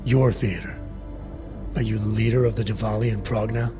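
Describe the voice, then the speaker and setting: A low-voiced, gravelly male agent from a video game, played by an actor, guarded and terse. His delivery is clipped and deadpan. Music plays clearly behind him. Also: fairly quick, lightly acted. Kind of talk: video game cutscene acting. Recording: music under the voice.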